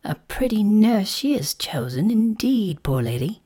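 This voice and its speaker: A woman's voice: mid-range voice, sing-song, unhurried, mellow, pitched above the performer's own voice. A woman voicing a mild, anxious female caterpillar in a storybook, fretful and earnest, given to exclaiming. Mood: fretful, put-upon. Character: mild, anxious green caterpillar, female, kind but indignant. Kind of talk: storybook character voice.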